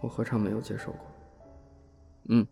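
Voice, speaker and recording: Polite mild young man, audio drama, soft-spoken, dark mellow tone, gravelly edge. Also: lowish voice, little pitch movement, normal speed, soft background music. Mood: weary, reserved. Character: polite, mild, self-effacing young man down on his luck. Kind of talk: Chinese audio drama acting.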